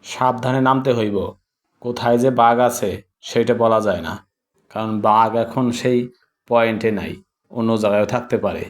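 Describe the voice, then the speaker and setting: A man's voice: mid-range voice, moderate pace, pauses often, lightly acted. A man voicing a poor, hardworking middle-aged father in a cartoon, bossy and colloquial, with a Bangladeshi accent. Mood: bossy, earnest. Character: poor, hardworking middle-aged honey collector, gruff but devoted father. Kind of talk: cartoon voice acting. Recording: clear background noise.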